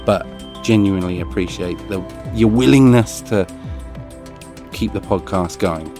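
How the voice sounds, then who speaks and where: A man's voice: sits low, unhurried, music in the background. A man with a crumpled, papery voice, closing his podcast in a casual, rambling, self-deprecating way. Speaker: podcast host.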